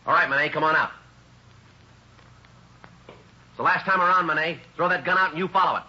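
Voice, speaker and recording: A man playing a terse, no-nonsense police detective in a deadpan, clipped 1950s radio style. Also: fairly high pitch, fairly quick, slightly rough, heavily acted. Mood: commanding. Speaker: actor, narrator and lead of a radio police drama. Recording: dull, noisy 1950s radio recording.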